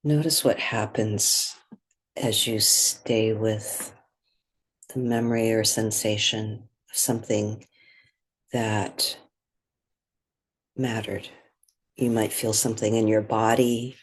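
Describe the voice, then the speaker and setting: A meditation guide speaking gently and in a measured way, leaving long pauses between phrases. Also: mid-range voice, fairly even intonation, husky. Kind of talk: guided meditation, soothing spoken instruction.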